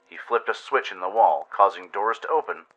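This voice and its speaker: A man's voice: fairly low pitch, lively intonation, moderate pace, sharp tone, highs cut off. A man narrating a scripted dramatic first-person story in radio-play style, with an intense, theatrical delivery.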